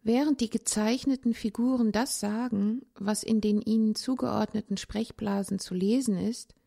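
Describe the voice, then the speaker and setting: A woman reading a literary essay aloud in a measured, contemplative way, with pauses between phrases. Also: medium pitch, steady tone, vocal fry, warm tone. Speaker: narrator, language teacher.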